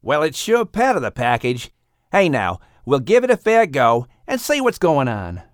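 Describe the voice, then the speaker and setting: A woman's voice: low-pitched, very animated, normal speed, no echo, clean studio sound. A woman voicing a cheerful, blustery male kangaroo with an Australian accent in an audiobook. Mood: reassuring.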